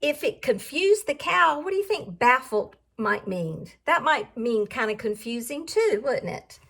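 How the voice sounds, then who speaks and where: High-pitched, animated woman reading to children. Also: a little slow, gravelly. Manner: sing-song, explains words to young listeners. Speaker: teacher reading a picture book aloud. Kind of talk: expressive children's read-aloud with questions to listeners.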